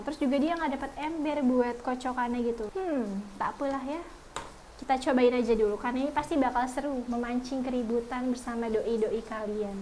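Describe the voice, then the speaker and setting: A woman's voice: sits high, darkish tone, a little hiss or hum, rough recording. A young woman reviewing products in a casual vlog, chatting to her viewers and calling them guys.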